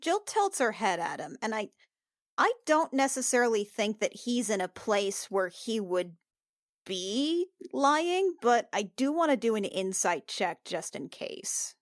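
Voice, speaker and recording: A woman's voice: fairly high pitch, very animated, unhurried, no echo, clean studio sound. A woman playing in a tabletop roleplaying podcast, talking casually as she works through the game.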